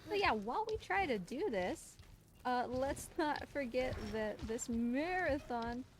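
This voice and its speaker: A woman's voice: high-pitched, lively intonation, measured pace. A woman doing casual live gameplay commentary as a speedrunner, with a playful, exasperated, scolding manner. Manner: talks to the game creature in playful, exasperated scolding. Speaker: speedrunner streaming live for a charity marathon. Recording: clear background game noise and ambience.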